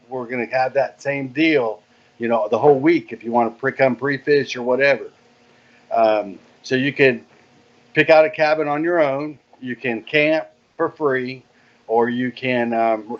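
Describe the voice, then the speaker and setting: A man's voice: mid-range voice, normal speed. A man giving casual, easygoing and plainspoken answers as a guest in an interview. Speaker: podcast guest, marina director. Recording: dull, lo-fi call audio.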